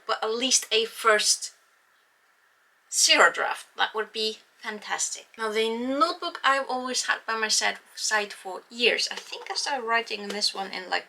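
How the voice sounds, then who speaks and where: A woman's voice: fairly high pitch, sharp tone. A young woman with an Icelandic accent, English not her first language, chatting casually and self-mockingly to camera about her writing plans. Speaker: writing vlogger. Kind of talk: casual vlog talk to camera.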